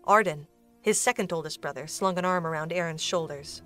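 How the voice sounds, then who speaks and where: A woman's voice: fairly low pitch, fairly quick, a bit bright, faint music behind. A woman reading scripted storybook narration for an audio drama.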